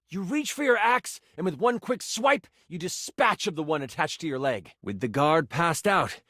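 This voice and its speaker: A high-voiced, theatrical game master narrates fantasy combat for a video game. His delivery is animated and dramatic, savoring key words, recorded dry and close. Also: no room sound, full character voice. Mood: suspenseful. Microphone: close studio mic.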